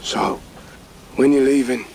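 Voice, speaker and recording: A man's voice: mid-range voice, level tone, slow pace, a little mellow, a little hiss or hum, lightly acted, poor audio. A male actor playing a tough, defiant rabbit fighter in a radio drama, with a blunt, sardonic and commanding manner. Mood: resolute.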